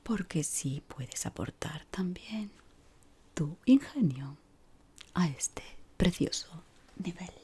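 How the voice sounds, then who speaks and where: A woman doing an ASMR roleplay in a breathy whisper, playing a polite but exacting decorator of an eerie office maze. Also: lowish voice, animated, slight background noise. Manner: slow, deliberate, pausing, softly spoken.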